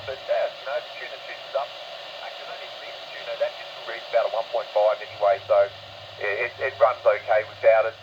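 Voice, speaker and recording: An Australian man chatting casually as an amateur radio operator over a two-way radio, in a laid-back, hesitant, rambling way. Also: mid-range voice. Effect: two-way radio transmission. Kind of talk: casual radio contact chat. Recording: muffled, noisy shortwave radio reception.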